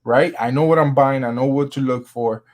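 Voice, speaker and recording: A man's voice: medium pitch, moderate pace, a little mellow. Laid-back man talking sneakers. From Miami, Florida. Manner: laid-back, slangy, says "bro" often. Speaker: sneaker vlogger. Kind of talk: casual chat.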